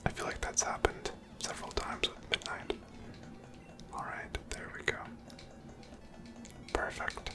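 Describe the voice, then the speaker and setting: Soft whispering ASMR gamer. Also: a little slow, long pauses, over loud music. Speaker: host of a gameplay series. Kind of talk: whispered ASMR gameplay commentary.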